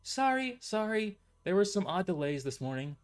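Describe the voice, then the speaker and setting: A man's voice: sits high, wildly swooping pitch, moderate pace, a little mellow, pitched above the performer's own voice. A man voicing a sarcastic, tough young waitress in a raised, mocking voice.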